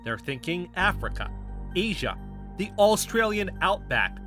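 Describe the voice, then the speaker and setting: A man's voice: high-pitched, big pitch swings, unhurried, sharp tone, faint music behind, full character voice. A man acting as an insistent, stubborn conspiracy theorist in an audio drama, speaking with emphatic conviction. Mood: fervent, urgent. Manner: emphatic, insistent, building speeches. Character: impassioned male conspiracy theorist, defensive and stubborn. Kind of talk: scripted audio fiction dialogue.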